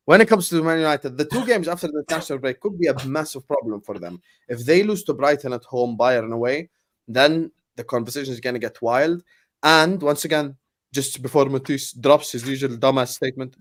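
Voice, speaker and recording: A brash man with a higher-than-usual voice talks football with friends. He swears freely and teases the others. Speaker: football podcast co-host. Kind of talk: heated casual football chat.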